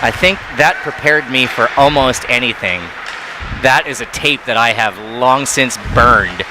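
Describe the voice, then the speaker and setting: Excitable man calling hockey play-by-play. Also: mid-range voice, crowd noise, noisy background. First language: not Thai. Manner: rapid, excitable calls on big plays. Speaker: play-by-play commentator.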